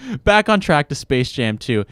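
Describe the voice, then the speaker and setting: Young male host, eager sports chatter, smooth clean voice, dry studio sound. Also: mid-range voice, big pitch swings, moderate pace, fairly bright tone, no echo. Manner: enthusiastic, rambling. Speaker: podcast host. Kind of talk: casual sports talk.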